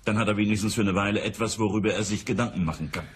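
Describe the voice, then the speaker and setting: An actor playing a casual, wryly humorous wealthy businessman in a radio crime drama, with a very rough, husky voice. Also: fairly low pitch, very fast. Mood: easygoing. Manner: salopp, humorous, chuckling asides.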